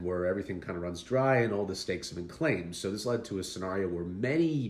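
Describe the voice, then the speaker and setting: Wry low-voiced man telling history. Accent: Pacific Northwest. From Vancouver Island, Canada. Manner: conversational, wry asides. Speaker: history video host. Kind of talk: casual history narration. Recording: roomy echo.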